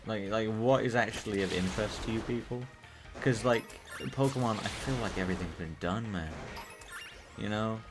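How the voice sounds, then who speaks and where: A British man streaming a game, chatting casually in a rambling, profane way that he calls monotone. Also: lowish voice, moderate pace, music in the background. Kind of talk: casual live-stream chat while gaming.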